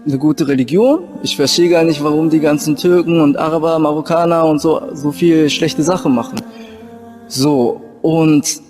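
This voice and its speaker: A man's voice: fairly high pitch, steady tone, moderate pace, music in the background. A young man from Japan who later lived in Germany, giving an informal personal testimony and often searching for words. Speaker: convert telling his story.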